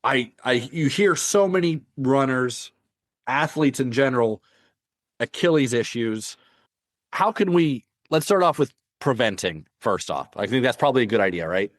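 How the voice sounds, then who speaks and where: A man's voice: mid-range voice, lively intonation, moderate pace, dry recording. A man with a mid-range voice hosts a casual podcast, asking his guest curious questions. He agrees easily and keeps the talk moving. The mic sound is dry and close. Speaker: podcast host.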